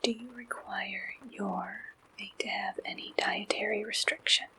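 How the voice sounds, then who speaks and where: A woman whispering breathy, gentle questions in an ASMR roleplay, as an interviewer taking down answers. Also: mid-range voice, steady tone, measured pace, low-quality recording. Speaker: ASMR roleplayer acting as a dating service interviewer.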